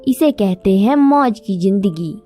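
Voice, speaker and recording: A woman's voice: medium pitch, expressive, a little slow, mellow. A woman voicing a lazy, selfish bird auntie with a theatrical, whiny delivery in a children's cartoon story. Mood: smug. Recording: music behind the voice.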